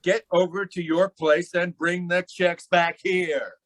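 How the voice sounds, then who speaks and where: A man's voice: high-pitched, little pitch movement, a little slow, a bit bright. A man acting a bossy, impatient gangster barking orders in an old-time radio crime drama. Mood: commanding. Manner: impatient, commanding.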